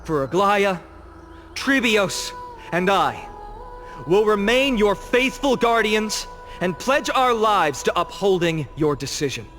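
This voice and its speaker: An actor playing an eloquent young guardian demigod, giving a solemn, theatrical speech in a video game. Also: male voice, sits high, sing-song, fairly bright tone, soft background music, exaggerated voice. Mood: solemn vow. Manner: eloquent, solemn, deliberate rhetorical delivery. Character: impassioned young male orator, guardian demigod of a holy city. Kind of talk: dramatic theatrical oration in video game voice acting.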